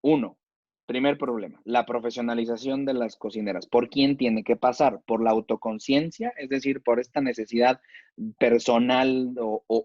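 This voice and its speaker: A man's voice: medium pitch, darkish tone, no echo, lo-fi sound. A man with a Mexican accent, a chef debating in a casual, slangy way and using plenty of rhetorical questions.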